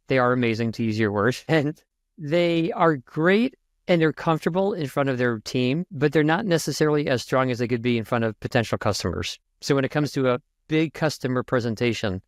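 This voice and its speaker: A man speaking as an interview guest and communication coach, animated and joking, jumping up in pitch for emphasis. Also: dry recording, studio quality. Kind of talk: conversational interview.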